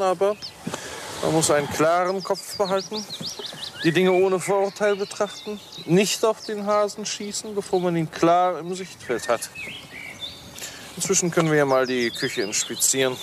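An actor playing a jovial, self-assured police inspector in a German radio crime drama. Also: male voice, a little high, noisy background, close to an everyday voice. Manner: chatty, self-assured, officious.

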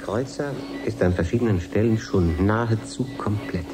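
An actor playing a matter-of-fact professor in a radio drama, explaining precisely and with self-assurance. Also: male voice, lowish voice, moderate pace, music in the background, lightly acted. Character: matter-of-fact male professor running an experiment. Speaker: scientist. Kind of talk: 1980s German radio drama.

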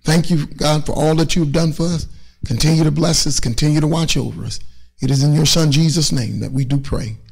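A middle-aged man, a church minister, speaking in a halting, earnest way as he addresses his congregation and prays. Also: fairly high pitch, steady tone, gravelly, a little mellow, slightly rough. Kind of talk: closing announcements and prayer. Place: indoors in an empty church sanctuary.

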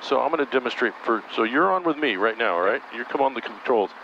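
Male flight instructor, gravelly voice, calm coaxing commands, muffled headset intercom, faint engine hum behind. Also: medium pitch, quick pace. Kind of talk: in-flight coaching. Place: helicopter cockpit in flight. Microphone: aviation headset intercom.

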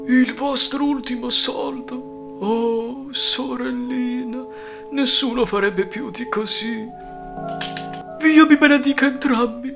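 A man's voice: very high voice, fairly even intonation, slow pace, vocal fry, music in the background, full character voice. A man dubbing a stout, kindly but fiery animal friar in a cartoon, with an emphatic, theatrical manner. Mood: gentle, sorrowful. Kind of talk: amateur cartoon dub.

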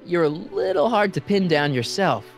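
An actor playing an earnest, anxious teenage time traveller in a video game, casual and wry with some hesitancy. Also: male voice, high voice, expressive, moderate pace, gravelly, soft background music. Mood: coaxing. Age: young adult.

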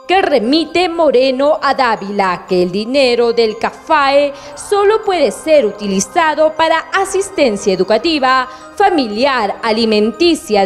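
A woman with a Peruvian Spanish accent reading a scripted regional news report in a measured, formal way. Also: sits high. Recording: voice-over with faint music bed.

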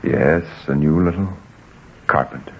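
An actor playing a protective, earnest husband and carpenter, grave and sincere in 1940s radio drama style. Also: male voice, rumbling low, a little slow, husky, exaggerated voice. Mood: guarded. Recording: muffled 1940s radio with music.

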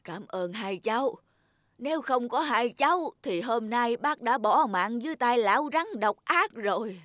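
A woman voicing a caring older cat in a children's cartoon, gently advising the young. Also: fairly high pitch, expressive, normal speed, slight background noise. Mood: kindly, concerned. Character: kindly older cat, caring auntly or uncle-like helper. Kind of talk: dubbed children's cartoon dialogue.

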